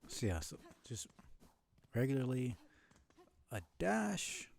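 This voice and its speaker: A man's voice: mid-range voice, very animated, takes it slowly, gravelly, a little hiss or hum. A young man casually talking to himself while streaming a game, mumbling thoughts as he plays. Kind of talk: casual live gameplay commentary.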